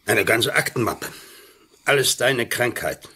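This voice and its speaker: Elderly doctor for radio play: raspy, forceful, earnest, flustered friend of the patient. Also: male voice, mid-range voice, animated, a little slow, exaggerated voice. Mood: reassuring. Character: worried old male doctor, loyal, flustered school friend. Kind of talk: German-language radio drama acting.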